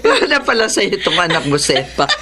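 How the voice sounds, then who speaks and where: A woman playing a crabby, lonely elderly retired teacher with a Filipino accent in a radio drama, grumpy but secretly soft. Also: lowish voice, very animated, a little fast, crowd noise. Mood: softened, amused. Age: older. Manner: grumpy, scolding.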